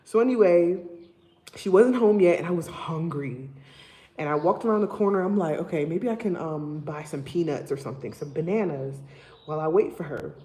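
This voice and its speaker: A woman's voice: deep voice, normal speed. A young woman from Liberia with a Liberian accent, chatting casually and emphatically to her viewers on a livestream. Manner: animated, emphatic storytelling. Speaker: vlogger.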